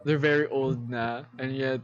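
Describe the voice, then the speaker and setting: A Filipino man hosts a late-night chat over a voice call, and his rambling talk is full of restarts and Tagalog asides. His voice sits at a middle pitch, and the call audio sounds dull and lo-fi. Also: steady tone, moderate pace. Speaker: podcast host. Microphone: voice call mic.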